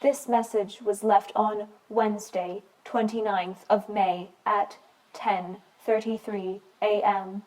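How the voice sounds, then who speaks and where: A woman's voice: medium pitch, steady tone, slow, darkish tone, lightly acted, poor audio. A woman voicing an impersonal answering machine announcer, formal and matter-of-fact, in a radio play. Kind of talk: scripted voicemail time announcements.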